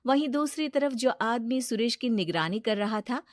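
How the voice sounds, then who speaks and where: A woman's voice: a little high, animated, normal speed, no room sound, clean studio sound. A woman narrator reading a scripted children's cartoon story.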